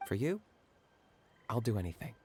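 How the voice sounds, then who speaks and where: A man playing a serious, stoic young hunter in a video game, measured and matter-of-fact. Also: lowish voice, big pitch swings, darkish tone. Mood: sincere.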